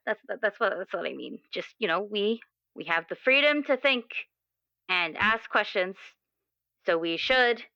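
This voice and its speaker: Earnest, searching young character, acted by a woman, clear and bright, stumbling over thoughts in improvised tabletop roleplay, dry sound. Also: mid-range voice, no room sound, dull audio. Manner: halting, rambling, often repeating words. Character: earnest, questioning twenty-year-old, restless with their assigned role. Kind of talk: improvised actual-play roleplay dialogue.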